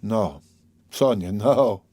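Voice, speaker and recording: A man reads as a young male killer tormented by guilt, in a storybook drama. He is bitter and self-loathing, speaking in agitated, halting bursts in a close, dry recording. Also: lowish voice, warm tone, slightly rough, no echo, heavily acted. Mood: weary, vexed. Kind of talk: dramatic audiobook character reading.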